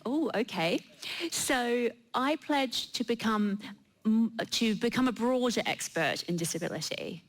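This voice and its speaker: A woman's voice: fairly high pitch, lively intonation, a little slow, rough recording. A woman speaking as a panelist at a live event, giving a considered personal statement. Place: radio theatre stage.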